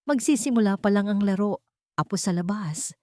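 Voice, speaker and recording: A woman's voice: mid-range voice, normal speed, darkish tone, dry recording, clean studio sound, lightly acted. A woman with a Filipino accent voicing a proud, threatening society matriarch, the villainess of an audiobook drama. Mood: venomous, hushed. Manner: haughty, threatening.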